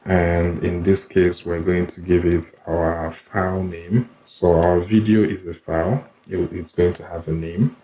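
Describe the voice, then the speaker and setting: A man's voice: sits low, flat delivery, unhurried, hardly any pauses, warm tone, a little hiss or hum. A man walking through code step by step in a screen-recorded tutorial, repeating himself as he explains. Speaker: coding tutorial presenter. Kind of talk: screen-recorded coding walkthrough.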